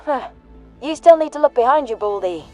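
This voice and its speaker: A woman's voice: high-pitched, animated, brisk, mellow, faint music behind. A woman playing a tough, sardonic, grieving human soldier in a sci-fi audio drama, blunt and given to swearing. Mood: teasing.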